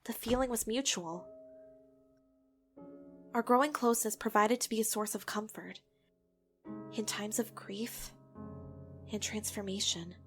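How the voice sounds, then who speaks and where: A woman's voice: mid-range voice, measured pace, long pauses, a bit bright. A woman playing a frank, reflective young professor in a comic dub, with music behind her voice. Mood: wistful, reflective. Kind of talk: dramatic voice acting and reflective narration for a comic dub.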